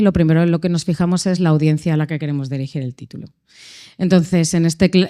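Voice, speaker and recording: A woman's voice: on the low side, mellow. A woman speaking on a panel at a public event, explaining decisions in an enthusiastic, upbeat way. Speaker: casting and production lead. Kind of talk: panel discussion answer.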